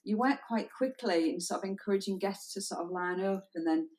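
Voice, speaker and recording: A woman's voice: medium pitch, brisk, a little mellow, low-quality recording. A woman in the middle of her register talks through her wedding work. She is easygoing, with small hesitations and restarts. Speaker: wedding photographer guest. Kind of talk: casual chat.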